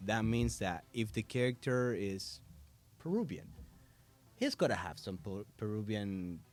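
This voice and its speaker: A male voice actor answering interview questions in a podcast studio, measured and thoughtful with pauses. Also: lowish voice, lively intonation. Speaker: voice actor and audiobook narrator. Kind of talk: conversational interview. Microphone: studio mic.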